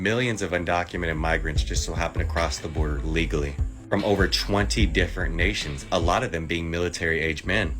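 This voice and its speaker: A man's voice: deep voice, a bit bright. A man delivering an insistent political rant to camera, full of sarcastic rhetorical questions. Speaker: TikTok commentator. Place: clip played within a compilation.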